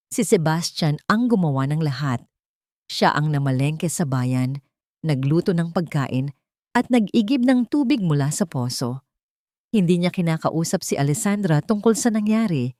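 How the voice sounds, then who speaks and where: A woman narrating a scripted dramatic love story as a storyteller, in measured, short dramatic phrases. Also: sits low, a little mellow, no echo, studio quality.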